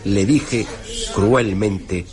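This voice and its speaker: A man narrating a literary radio drama as a storyteller, with wry, formal and deliberate phrasing. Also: on the low side, darkish tone. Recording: music playing behind the narration.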